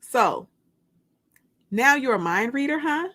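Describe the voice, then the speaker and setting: Woman acting a coy, higher-pitched flirty woman. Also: big pitch swings, slow, pushed and bright, full character voice. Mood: teasing, flirting. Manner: coy, teasing, plays dumb.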